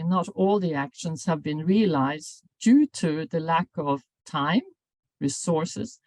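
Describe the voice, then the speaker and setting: An older woman, a professor, giving an academic seminar lecture in a measured, formal way, often correcting herself mid-sentence. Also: low-pitched, dry recording. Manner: measured, formal delivery with frequent self-corrections. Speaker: professor and anti-bullying researcher.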